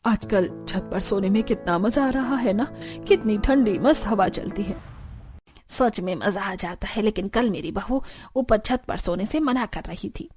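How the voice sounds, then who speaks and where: A woman puts on a stubborn older village mother-in-law for a cartoon. The voice sits lower, firm and dismissive, with music playing under it. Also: a little slow, a little mellow, slightly rough. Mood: smug. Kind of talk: cartoon dialogue.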